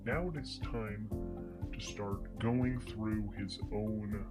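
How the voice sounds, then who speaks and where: A man's voice: lowish voice, fairly even intonation, slow pace, over loud music. A man narrating a solo tabletop game as host and game master, in a conversational storytelling manner.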